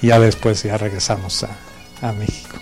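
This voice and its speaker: A man's voice: lowish voice, level tone, normal speed, a little mellow, faint music behind. A man, raised partly in the United States, explaining things informally as an interview guest. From born in Mexico, grew up in the United States. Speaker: interview guest, pipe band member.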